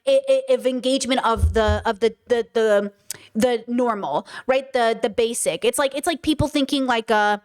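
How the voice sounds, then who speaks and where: High-voiced Californian woman giving commentary. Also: normal speed. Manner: emphatic, repeats words while thinking, addresses viewers directly. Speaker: commentary video host. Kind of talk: opinionated commentary monologue.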